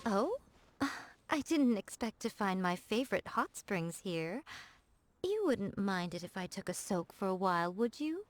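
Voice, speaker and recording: A woman playing a sly, elegant fox spirit shrine maiden in a video game, coy and self-assured, in measured teasing phrases. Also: mid-range voice, big pitch swings, slight background noise. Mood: pleased, relaxed. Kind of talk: English video game character voice acting. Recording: music behind the voice. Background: background music.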